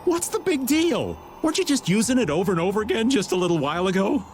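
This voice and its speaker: An actor playing an easygoing, joking middle-aged game character with a colloquial, playful drawl and comic complaining. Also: male voice, high voice, big pitch swings, fast talker, a little mellow, a little hiss or hum. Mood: whiny, pleading. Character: joking, easygoing middle-aged man, self-styled old-timer. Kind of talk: animated game voice acting.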